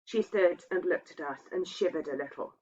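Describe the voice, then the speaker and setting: A woman's voice: on the low side, normal speed, a little mellow. A woman reading a literary ghost story aloud as a narrator. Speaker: reader. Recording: dull, muffled lo-fi sound.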